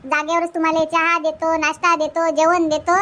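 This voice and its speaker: Woman doing a chatty young village wife, super high sharp voice, vidarbha marathi accent, cartoon dub, noisy background. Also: monotone, normal speed. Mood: cheerful, chatty. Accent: rural Vidarbha Marathi. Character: Rinku, young married village daughter, chatty and obliging. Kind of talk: amateur Marathi cartoon comedy dubbing.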